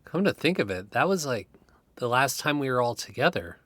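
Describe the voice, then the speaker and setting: A man's voice: medium pitch, sing-song, brisk, no echo. An actor playing an uneasy, puzzled teenage boy in an audio drama. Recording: loud music bed under the voice.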